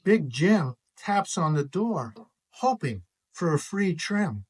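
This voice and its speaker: A man narrates a children's picture book in an expressive read-aloud style. His voice sits fairly high for a man, and he takes his time over the phrases. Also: slow pace, slightly rough. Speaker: author reading own picture book.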